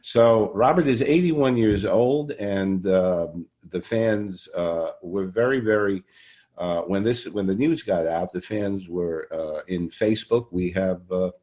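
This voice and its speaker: An older man hosts a conversational interview, haltingly and often repeating his phrases. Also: low voice, dark tone. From Brooklyn, New York. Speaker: podcast interviewer.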